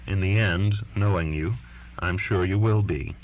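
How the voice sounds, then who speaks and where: A man's voice: low-pitched, measured pace, a little hiss or hum. A man reading as an earnest, defiant twenty-year-old daughter writing to her father, pleading and insistent, on a lo-fi recording. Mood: appealing. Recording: dull lo-fi recording.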